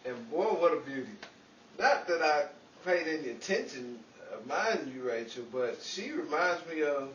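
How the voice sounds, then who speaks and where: A man's voice: fairly high pitch, lively intonation, moderate pace, fairly bright tone, highs cut off. A man playing a well-meaning, scatterbrained schemer who is forever in trouble, in an old-time 1940s radio comedy style. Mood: eager persuading. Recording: echoey, distant, noisy room recording.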